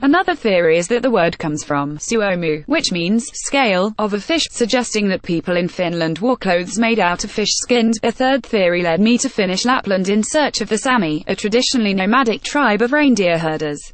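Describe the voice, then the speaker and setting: A woman narrating a travel feature article in a steady, even reading voice with odd pauses. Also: medium pitch, dry recording.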